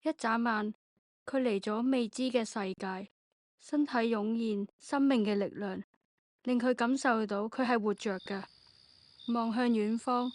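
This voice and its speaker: A woman's voice: on the high side, darkish tone. A woman, reading scripted narration for an audio drama.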